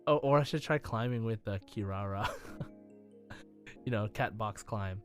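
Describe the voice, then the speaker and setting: A man hosting a casual gaming podcast, chatting in a halting, rambling way. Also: on the low side, faint music behind. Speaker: podcast host.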